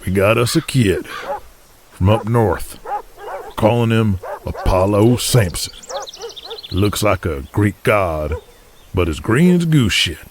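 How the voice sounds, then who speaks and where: An actor playing a gruff, shrewd wrestling promoter from Texas in an audio drama, with a folksy, deliberate way of speechifying. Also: male voice, lowish voice, lively intonation, a crowd in the background. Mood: keen, scheming.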